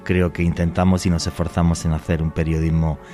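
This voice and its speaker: A man's voice: low voice, level tone, a little fast, mellow, soft background music. A man hosting a radio podcast, speaking in an earnest, opinionated and expansive way as he introduces the episode and his guest. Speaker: radio host.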